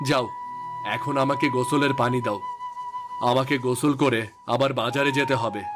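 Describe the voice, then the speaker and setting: Cartoon family drama: middle-aged dad, voiced by a man, reasonable and admonishing, mid-pitched, clear music bed. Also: fairly even intonation, normal speed, lightly acted. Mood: dismissive. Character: reasonable middle-aged father and husband, gently chiding. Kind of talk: melodramatic cartoon story acting.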